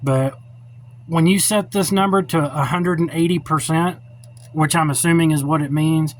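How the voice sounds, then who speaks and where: A man's voice: fairly high pitch, steady tone, moderate pace. A middle-aged man giving an informal, unscripted how-to demonstration in a blunt, opinionated, plainspoken way. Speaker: radio hobbyist giving a tutorial. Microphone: cell phone held or set up while filming. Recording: noisy cell phone recording.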